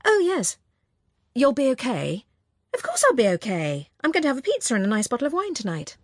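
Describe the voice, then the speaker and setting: A woman's voice: sits high, wildly swooping pitch, fairly quick, dry recording, clean studio sound, pitched above the performer's own voice, heavily acted. A woman voicing a breezy younger sister, lightly brushing off concern.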